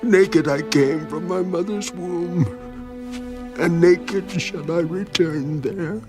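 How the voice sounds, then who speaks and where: A man's voice: sits high, slow, over music. An actor playing a devout, steadfast man in grief, solemn and earnest, in a dramatised scripture reading. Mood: grieving, reverent. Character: Job, devout man, grieving yet steadfast.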